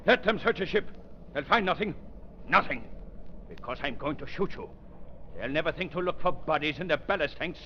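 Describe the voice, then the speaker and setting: An actor playing a ruthless villain, commanding and theatrical in a 1930s radio drama. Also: male voice, a little high, lively intonation, moderate pace, pauses often, close to an everyday voice. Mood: menacing. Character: ruthless foreign male villain barking orders, desperate and menacing. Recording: dull, noisy 1930s radio recording.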